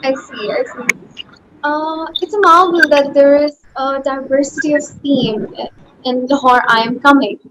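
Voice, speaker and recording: A woman hosting an interview, asking formal questions politely and in a measured way. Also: sits high. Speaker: interviewer, host. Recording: faint background noise.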